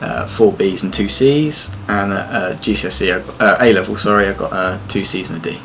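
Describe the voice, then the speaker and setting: A young man with an English accent, an apprentice talking casually about his routine and studies, with a relaxed, hesitant manner. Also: on the low side, brisk, creaky, slight background noise. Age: young adult. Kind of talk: casual interview answers.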